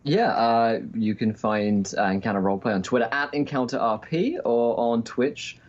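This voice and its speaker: A man's voice: mid-range voice, moderate pace, slight background noise. A young man chatting casually as an interview guest calling in remotely, on dull lo-fi audio. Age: young adult. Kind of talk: casual chat.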